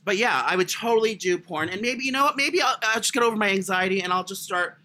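Man with a high voice, bright and sharp tone, crude rambling podcast chat, self-mocking. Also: expressive, fast talker. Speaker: podcast co-host. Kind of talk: casual chat.

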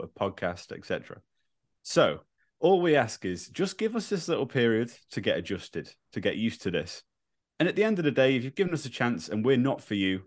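A man co-hosting a podcast, speaking candidly and reassuringly to viewers, admitting he is a bit nervous. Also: fairly low pitch. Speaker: podcast co-host. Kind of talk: earnest address to viewers.

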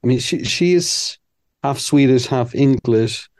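Man, composer guest; warm, relaxed tone, candid and reflective, talks things through as he goes. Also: medium pitch, a little slow. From Iceland. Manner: candid, reflective, thinks aloud. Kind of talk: casual interview chat.

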